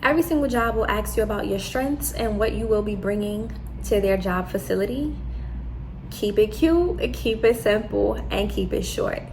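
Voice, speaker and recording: New York woman, vlogger, mid pitch, gravelly edges, direct and chatty, faint room hiss. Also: normal speed, a little mellow, low-quality recording. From New York City. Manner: direct, chatty, repeats "okay?" to drive points home. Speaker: vlogger giving job interview tips. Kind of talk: casual advice talk to camera.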